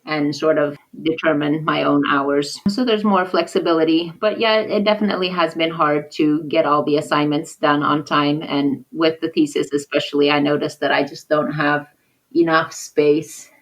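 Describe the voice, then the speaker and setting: A woman's voice: deep voice, normal speed, poor audio. A woman who grew up in Papua New Guinea, a podcast guest speaking in a thoughtful, hesitant way with many restarts. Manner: lively when acting out conversations. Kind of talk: casual interview talk.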